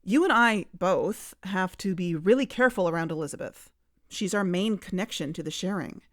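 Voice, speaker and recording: A woman's voice: lowish voice, moderate pace, no room sound, clean studio sound, lightly acted. A woman playing an anxious, cautious and conflicted investigator in a naturalistic audio drama. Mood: wary.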